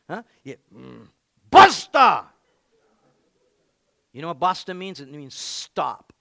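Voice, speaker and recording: A man preaching with a high, bright, ringing voice that swings from playful joking to shouted exhortation. He plays to his congregation with an energetic, punchy delivery. The sound is close and dry. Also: slow, creaky, no room sound. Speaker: pastor. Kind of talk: energetic sermon.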